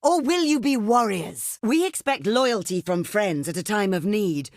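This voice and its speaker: A woman playing a proud, shrewd desert queen in a video game, speaking in a formal, regal, measured way. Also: medium pitch, expressive, no room sound, clean studio sound. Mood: commanding. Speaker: game character voice actor. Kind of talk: theatrical video game voice acting.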